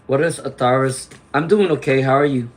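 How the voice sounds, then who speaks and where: A man doing a live tarot reading, chatty and reassuring, clearing his throat often as he loses his voice to laryngitis. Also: mid-range voice, a little fast. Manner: chatty, reassuring, frequent "okay?" and "all right?" tags. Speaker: tarot reader; teacher.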